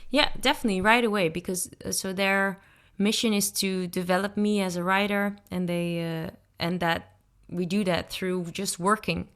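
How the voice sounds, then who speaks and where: Dutch woman, clear smooth voice, relaxed, thoughtful, pauses with ums, podcast guest. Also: medium pitch. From Netherlands. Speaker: guest singer-songwriter. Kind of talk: casual interview answers.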